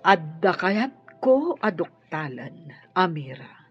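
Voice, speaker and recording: A woman's voice: on the low side, sing-song, slow pace. A woman playing an earnest, well-off madam in an Ilocano radio drama, searching for her past. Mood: guarded. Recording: dull sound with music behind.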